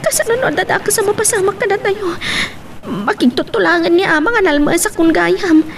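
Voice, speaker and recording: A woman's voice: extremely high pitch, animated, fairly quick. An actress with an Ilocano Filipino accent playing a timid, nervous young woman in a radio love drama, speaking hesitantly. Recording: clearly noisy recording.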